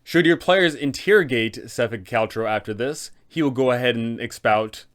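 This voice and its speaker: Male host, medium pitch. Scripted narration guiding game masters through a tabletop scenario. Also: moderate pace, a bit bright.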